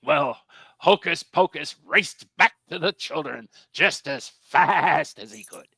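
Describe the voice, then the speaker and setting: A man's voice: on the high side, lively intonation, slow, vocal fry, pushed and bright, breathy edge. A man narrating a children's story in a live script reading.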